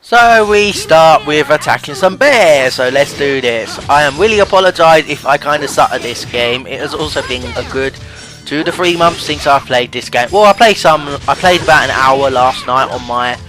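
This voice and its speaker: A man's voice: fairly high pitch, animated, moderate pace, over music. A young man hosting a let's play with casual, self-deprecating game commentary. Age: young adult. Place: voice-over with game music behind.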